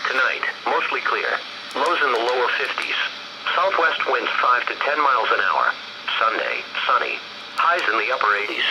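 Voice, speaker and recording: A synthesized male voice reading a scripted weather bulletin in flat, measured short phrases over weather radio. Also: medium pitch. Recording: very noisy weather radio broadcast.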